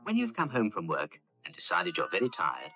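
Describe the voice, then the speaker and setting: A man's voice: sits low, wildly swooping pitch, brisk, over music. A man narrating a vintage public safety film, giving instructions in short, firm commands. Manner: instructive, clipped imperatives. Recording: dull vintage film soundtrack.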